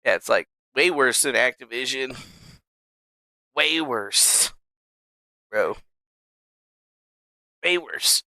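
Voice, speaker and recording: A man's voice: medium pitch, lively intonation, extremely slow, pauses often, sharp tone, no room sound, studio quality. A man hosting a stream, ranting casually in a brash, sarcastic, profane and rambling way. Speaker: streamer host.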